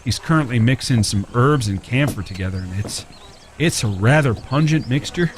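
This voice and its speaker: A man's voice: medium pitch, expressive, normal speed, natural voice. An actor playing a charming, self-deprecating blind man in an Old West audio drama, with courtly, folksy phrasing. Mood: gently confident.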